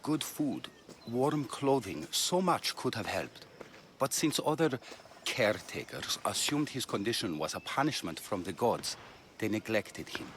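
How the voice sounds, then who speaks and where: Grave, expressive Greek physician. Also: male voice, fairly low pitch, measured pace, a little hiss or hum. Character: busy, serious male physician of ancient Greece. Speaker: game character. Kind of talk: video game cutscene acting.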